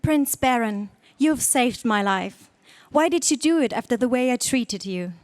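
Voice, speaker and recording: An actress playing a spoiled, headstrong young princess in a melodramatic space serial radio play. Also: female voice, fairly high pitch, lively intonation, measured pace, a little mellow, no room sound. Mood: breathless gratitude.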